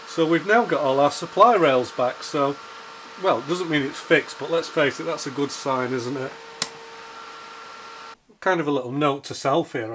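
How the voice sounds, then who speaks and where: A middle-aged man with a croaky voice from a cold, chatting casually as he repairs gear at his workbench. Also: mid-range voice, a bit bright. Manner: chatty, thinking aloud while working. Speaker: repair video host. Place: home workshop bench.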